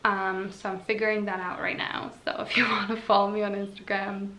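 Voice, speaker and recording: Young woman YouTuber, Slovene native, relaxed chatty vlog delivery, echoey room. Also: medium pitch, a little fast. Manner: chatty, with frequent fillers. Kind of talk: casual Q&A vlog.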